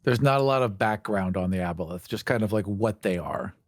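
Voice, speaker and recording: A man's voice: fairly low pitch, brisk, dry recording. A man chatting casually as a podcast host. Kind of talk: casual chat.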